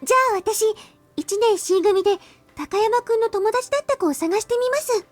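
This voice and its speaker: Very high, perky anime schoolgirl. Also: quick pace, dry recording, faint music behind. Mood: cheerful, eager. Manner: polite, bright, deferential. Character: perky, polite, eager schoolgirl underclassman helper. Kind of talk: anime-style visual novel voice acting.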